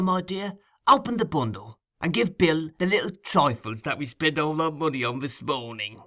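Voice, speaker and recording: A man's voice: on the high side, fairly bright tone, voice pushed up. A man voicing a sly, wheedling old male fence in a storybook reading. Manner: oily, wheedling.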